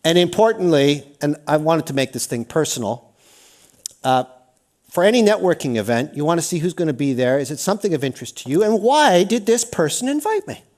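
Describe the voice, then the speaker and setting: A Canadian man with a fairly high voice runs a lively workshop in a lecture hall, speaking into a microphone. He talks energetically and keeps firing questions at the room to draw people in. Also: brisk. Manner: energetic, engages the audience with questions. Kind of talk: lively interactive seminar talk.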